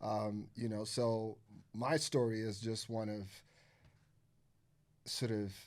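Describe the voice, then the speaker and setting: A man's voice: fairly low pitch, level tone, slow pace, a little mellow. Loose, swearing Nigerian-born man with American accent. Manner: loose and profane, heavy on filler phrases. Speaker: podcast guest. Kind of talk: casual conversation.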